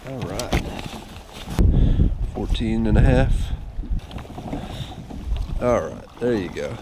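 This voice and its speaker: Guy on a kayak mumbling to the fish, camera mic, loud wind and water noise. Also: fairly low pitch, extremely slow. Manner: muttering to himself and the fish, bursts of excitement. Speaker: angler. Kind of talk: casual fishing vlog commentary. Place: kayak on an open lake, outdoors. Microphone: action camera mounted on the kayak.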